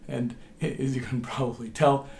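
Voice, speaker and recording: A hoarse man with a cold, patiently explaining how to play a tune as a harp teacher, his voice sometimes dropping to a whisper. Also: medium pitch, steady tone, moderate pace, a little mellow. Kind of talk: instructional tutorial.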